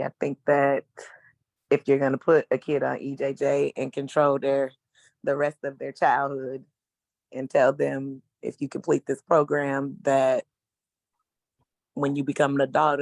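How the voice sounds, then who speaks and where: Panelist, male, 30s, casual and blunt, slangy, gravelly texture. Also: medium pitch, completely flat delivery, normal speed, dry recording. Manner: frank, casual, slangy, hesitant fillers. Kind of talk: personal testimony on a panel.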